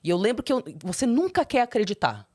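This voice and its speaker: A young adult woman, a guest in an interview, candidly telling her personal story and breaking into high, animated quotes. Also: fast talker, slightly rough. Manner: expressive, swings into high animated quoting.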